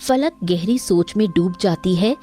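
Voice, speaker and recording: A woman's voice: lowish voice, a little slow, dark tone, faint music behind. A woman narrating a scripted cartoon story as its storyteller.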